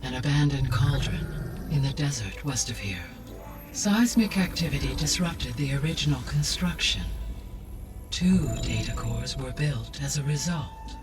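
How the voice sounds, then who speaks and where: A man playing a calm, precise artificial intelligence in a video game, speaking in a measured, formal, analytical way. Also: medium pitch, distant mic, lightly acted. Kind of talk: scripted video game cutscene acting. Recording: music behind the voice.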